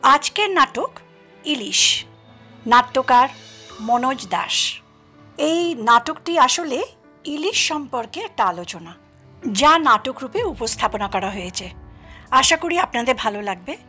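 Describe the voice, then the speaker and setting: A woman's voice: high-pitched, lively intonation, slow, long pauses, bright, forceful tone, breathy edge. A woman presenting an audio drama with a scripted introduction addressed to listeners. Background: music.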